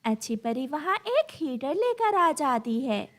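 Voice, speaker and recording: A woman's voice: high voice, sing-song, slow. A woman narrating a scripted children's story.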